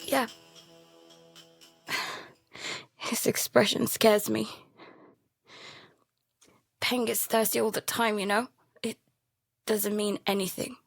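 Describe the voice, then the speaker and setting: A woman playing a frightened, guilt-ridden youngster who narrates and speaks in an audio drama, halting and stammering. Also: mid-range voice, little pitch movement, gravelly, no room sound. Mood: anxious, guilty. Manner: halting, stammering, breaking into whispers. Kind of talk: first-person audio drama narration with dialogue.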